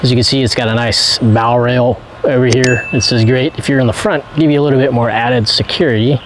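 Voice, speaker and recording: A man in his thirties, a channel host, casually walking viewers around a boat outdoors, talking into a handheld camera with relaxed fillers. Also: medium pitch, a little fast, noisy background. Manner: relaxed, talks to viewers with fillers while pointing things out. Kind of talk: casual walkthrough vlog. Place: outdoors in a driveway beside a boat on a trailer. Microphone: handheld camera carried around, earlier on a tripod.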